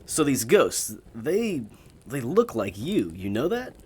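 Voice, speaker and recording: An actor playing a polite, earnest gentleman magician in an audio drama, speaking courteously and a little formally. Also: mid-range voice, huge pitch swings, slow, husky, exaggerated voice. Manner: courteous, slightly formal phrasing.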